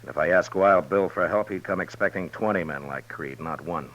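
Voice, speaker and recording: An actor playing a stern, weary, principled western lawman in 1950s radio drama, speaking in a measured, firm, plain way. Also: low-pitched, fairly bright tone. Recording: muffled, noisy old radio recording.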